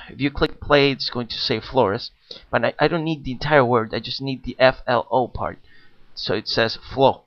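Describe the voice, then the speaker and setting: A man narrating a step-by-step software walkthrough in a plain explanatory way, with frequent "uh" fillers. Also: mid-range voice, muffled recording. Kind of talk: screen-recorded software walkthrough.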